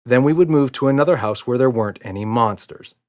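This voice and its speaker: A man's voice: mid-range voice, fast talker, a little mellow, close to an everyday voice. A man voicing a patient, reassuring, playful father in a children's storybook, indulgent and mock-serious. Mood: reluctant.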